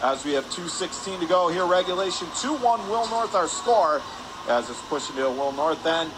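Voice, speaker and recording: A man's voice: fairly high pitch, lively intonation. A man calling live radio hockey play-by-play in clipped phrases from an ice rink. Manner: clipped rapid phrases, rising on shots. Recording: clear background noise, arena ambience.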